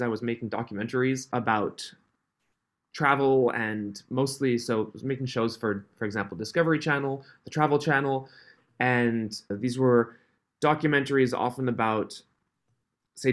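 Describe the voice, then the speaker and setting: A man in his thirties, raised in Switzerland, with a mixed, faintly Canadian accent, chatting as a podcast guest, friendly and quick to laugh. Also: medium pitch. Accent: mixed, Canadian-tinged. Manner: friendly, laughs often, restarts phrases. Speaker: podcast guest, company founder. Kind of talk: casual interview chat.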